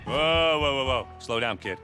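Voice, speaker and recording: An actor playing a boisterous, simple, food-loving warrior with a blunt, goofy manner in a video game. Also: male voice, mid-range voice, lively intonation, normal speed, pushed and bright. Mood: casual, brash. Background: music.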